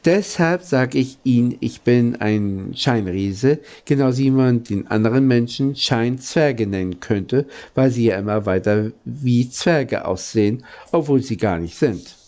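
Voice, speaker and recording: Man reading as gentle old giant, slow and mellow. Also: mid-range voice, animated. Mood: patient, explaining. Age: older. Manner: courteous, earnest, halting explanations.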